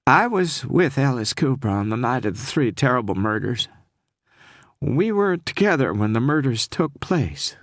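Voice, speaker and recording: A man voicing a frightened, secretive married woman with a Southern accent, halting and near tears, in an audiobook. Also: mid-range voice, sing-song, no echo, clean studio sound, pitched above the performer's own voice. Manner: halting, sobbing, pleading. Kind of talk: audiobook character voice.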